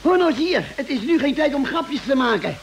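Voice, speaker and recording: A man's voice: extremely high pitch, animated, fairly quick. An actor in an old Dutch radio drama playing an earnest, urgent male spaceship doctor, worried and taking charge. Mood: alarmed. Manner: earnest, urgent, hesitating at times. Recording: noisy vintage radio recording.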